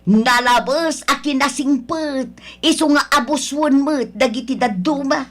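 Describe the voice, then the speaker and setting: A woman's voice: high voice, measured pace. A woman playing a nagging, disapproving older mother of a grown son in an Ilocano radio drama, scolding and complaining. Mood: grumbling.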